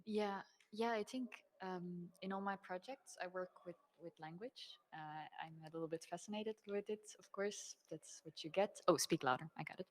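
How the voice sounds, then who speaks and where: A young woman whose first language is Dutch, speaking thoughtfully in an interview about her design work and pausing to find her words. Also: fairly low pitch. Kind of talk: relaxed conversational interview.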